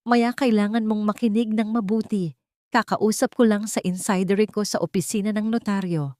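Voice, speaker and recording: A woman voicing a tired, determined man in an audio story, giving hushed, urgent warnings as a fellow plotter. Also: mid-range voice, steady tone, moderate pace, no echo, studio quality, voice pushed up, close to an everyday voice. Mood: urgent, secretive. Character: urgent, tired, determined male ally in a conspiracy. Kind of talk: storytelling character dialogue.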